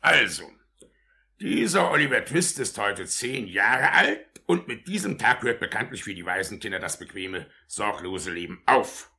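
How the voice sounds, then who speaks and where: An actor playing a pompous, officious and easily outraged parish official in a 1950s German radio drama. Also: male voice, on the low side, animated, unhurried, gravelly, bright, forceful tone, heavily acted. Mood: self-important. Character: pompous, self-important male parish official in old England.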